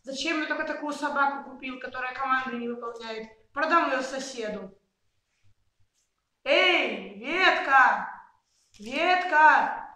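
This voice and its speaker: Woman playing a bright, high-voiced bossy father. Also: unhurried. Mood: bossy, exasperated. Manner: calling out commands, grumbling. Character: bossy, exasperated bearded father giving orders. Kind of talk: amateur puppet theatre acting. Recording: very echoey room.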